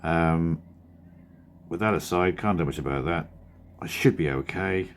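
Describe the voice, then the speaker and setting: A man with a croaky, husky voice from a cold, talking in a rambling, drowsy, self-correcting way as a cartoonist vlogger. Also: low-pitched, measured pace, slight background noise. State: hoarse from a cold. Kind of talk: casual studio vlog talk.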